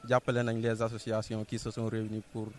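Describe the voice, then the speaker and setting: A man's voice: fairly low pitch, monotone, fast talker. A Senegalese man conducting a field interview as a TV reporter, putting questions in a mix of two languages. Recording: noisy on-location recording, ambient sound.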